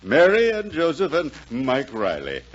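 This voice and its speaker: An actor playing an amused, skeptical gentleman listener in a 1940s radio drama. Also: fairly high pitch, huge pitch swings, a little slow. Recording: dull, noisy 1940s radio recording.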